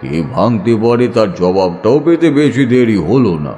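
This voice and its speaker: A man's voice: fairly low pitch, expressive, unhurried, warm tone, faint music behind. A man narrating a horror audiobook in a suspenseful, theatrical style. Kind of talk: dramatic scripted narration of horror fiction.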